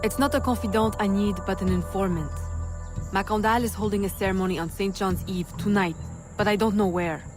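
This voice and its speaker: A woman voices a composed young assassin for a video game. Her voice sits at an average pitch, businesslike and determined, with faint music underneath. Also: steady tone, fairly quick, lightly acted. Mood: cool, businesslike. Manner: cool, businesslike, resolute. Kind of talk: dramatic video game acting.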